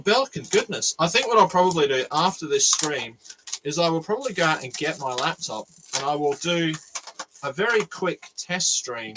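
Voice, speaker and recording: A man's voice: on the high side, noisy background. A nasal-voiced Australian man hosting a casual livestream from his workshop, thinking aloud and rambling with restarts. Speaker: maker livestream host. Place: home workshop. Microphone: cheap USB microphone.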